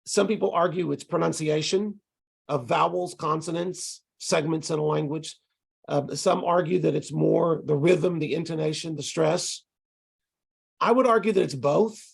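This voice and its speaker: Gravelly middle-aged man with a Texas accent. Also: a little high, moderate pace. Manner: relaxed, conversational explaining with frequent ums and restarts. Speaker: ESL instructor presenting to tutors. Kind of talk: online lecture over video call. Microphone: video call.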